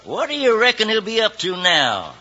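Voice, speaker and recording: An actor playing a suspicious, gruff, challenging ranch foreman in an old Western radio drama. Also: sits high, huge pitch swings, moderate pace, sharp tone, full character voice. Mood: hostile, challenging. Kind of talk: 1950s Western radio acting. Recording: dull old radio recording.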